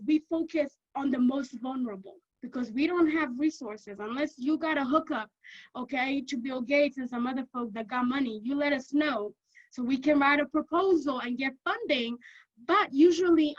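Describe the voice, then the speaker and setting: Woman, Kenyan accent, high voice, energetic lecturer, says "okay?" a lot, muffled lo-fi sound. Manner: energetic, keeps checking in with "okay?", repeats for emphasis. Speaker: university lecturer. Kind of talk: informational talk to prospective students.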